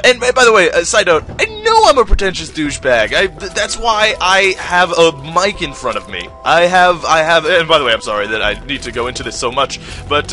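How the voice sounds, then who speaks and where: A man's voice: a little high, bright, forceful tone. A man from the United States rambling defensively while commentating a video game, with lots of filler words. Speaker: gaming commentator. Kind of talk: let's-play commentary.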